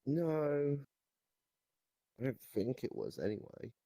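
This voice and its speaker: A man playing a deranged goblin storyteller in an improvised comic interview, rambling haltingly and nonchalant about absurd claims. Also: mid-range voice, big pitch swings, gravelly, mellow, breathy edge, dry recording, voice pushed up, exaggerated voice. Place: virtual reality voice chat.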